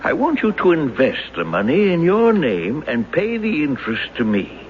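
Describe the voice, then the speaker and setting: A man's voice: on the high side, wildly swooping pitch, normal speed. An actor in a radio drama playing a genial, folksy old retired bank man who coaxes like a crafty confessed robber. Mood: coaxing, persuasive. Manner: folksy, coaxing. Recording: dull, noisy old radio broadcast.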